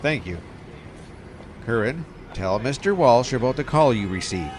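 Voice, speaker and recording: A man plays a police captain in an audio drama, brusque and skeptical, in a mid-pitched voice. Faint street and vehicle noise sits behind him. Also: lively intonation, measured pace, natural voice. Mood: businesslike.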